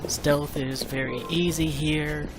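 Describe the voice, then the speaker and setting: A man's voice: fairly high pitch, fairly even intonation, takes it slowly, poor audio. A man giving casual commentary as the host of a gaming video. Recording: loud game music and street sounds behind. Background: game music and urban game sounds.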